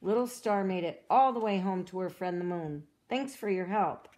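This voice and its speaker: A woman reading a children's storybook aloud as a cheerful young girl explorer, in a gentle, encouraging way that asks the listener questions. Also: mid-range voice, moderate pace, close to an everyday voice. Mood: upbeat. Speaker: storybook reader.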